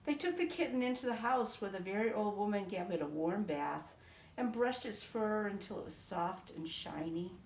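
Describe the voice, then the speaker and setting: A woman reading a children's picture book aloud in a sing-song, expressive way. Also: medium pitch, moderate pace, a little hiss or hum. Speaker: storybook reader. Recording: roomy echo, from a distance.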